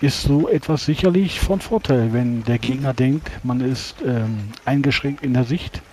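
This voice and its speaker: A man speaks as a player in a tabletop roleplaying game. His voice is soft-edged and dark, and he words things formally, with some hesitation. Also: medium pitch, moderate pace, low-quality recording. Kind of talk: in-character roleplay dialogue in an online game.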